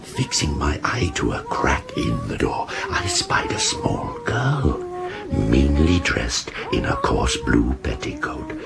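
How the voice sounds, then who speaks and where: An actor playing an articulate, sorrowful outcast monster with a gravelly voice, telling his tale in formal archaic speech in a radio drama. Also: fairly low pitch, wildly swooping pitch, slow pace, full character voice. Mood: hushed, mournful. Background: music.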